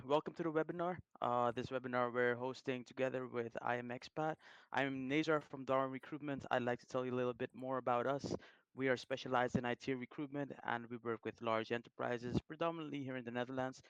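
A man opens a webinar with a businesslike introduction. His voice is smooth and clear at a middle pitch. The sound is dry, with no room around it. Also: steady tone, dry recording, poor audio. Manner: businesslike, brisk self-introduction. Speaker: recruitment consultant, webinar host.

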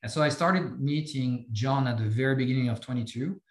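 French-accented man in an echoey room. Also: medium pitch. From France. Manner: halting, many repeated words and fillers. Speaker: company CEO guest. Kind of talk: podcast interview.

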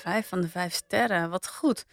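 A woman's voice: fairly low pitch, measured pace, no room sound, studio quality. Dutch woman podcast host over music. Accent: Netherlands Dutch. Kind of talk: casual podcast review chat. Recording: music bed behind the voice.

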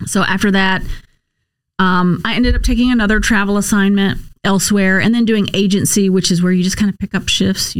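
A woman's voice: fairly low pitch, dry recording. An American woman answering interview questions conversationally, in a candid, explanatory way with emphatic repetition. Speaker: interview guest, nurse case manager and former Marine officer.

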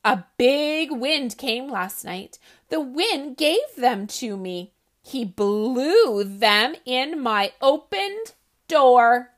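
A proper, peculiar old-fashioned goat, voiced by a woman reading aloud. He talks loudly and primly, with a lively, wide-ranging lilt. His delivery is slow and deliberate. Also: sits high, heavily acted. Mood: matter-of-fact. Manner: louder, proper and matter-of-fact. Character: odd, polite male goat who eats tin cans.

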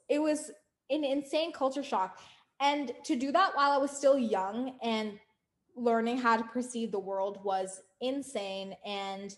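A woman's voice: on the high side, a little mellow. A young American woman co-hosting a podcast, talking in an earnest, emphatic way and building up her points. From United States. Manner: earnest, emphatic, lists points with rising force. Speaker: podcast co-host. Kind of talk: casual podcast discussion.

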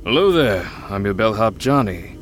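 An actor playing a bored, deadpan film star stuck working as a bellhop in a comedic audio drama. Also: male voice, on the low side, moderate pace, slight background noise. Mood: bored, flat. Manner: deadpan, indifferent.

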